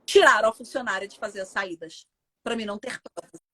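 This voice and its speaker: A woman's voice: medium pitch, fairly quick, fairly bright tone. A Brazilian woman with a Brazilian Portuguese accent, a mother telling an interviewer her story in a casual, emphatic, indignant way.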